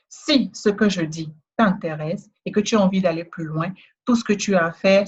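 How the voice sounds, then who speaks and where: A woman trainer giving a persuasive, repetitive sales pitch in a webinar, speaking straight to the listener, with a West African French accent. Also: slightly rough, muffled recording. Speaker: trainer selling a course.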